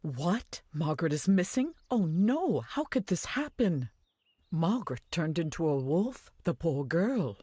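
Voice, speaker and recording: An actress playing an older village wise woman in a video game, caring and earnest, giving measured instructions. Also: lowish voice, very animated, dark tone, breathy edge, dry recording, exaggerated voice. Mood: worried. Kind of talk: scripted video game dialogue. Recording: music behind the voice.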